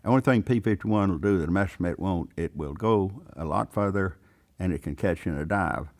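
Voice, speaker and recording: A man's voice: sits low, a little fast, a little mellow. An eighty-year-old man, a veteran pilot telling stories in a plainspoken, folksy way. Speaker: veteran warbird pilot recalling his career. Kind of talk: unscripted storytelling interview.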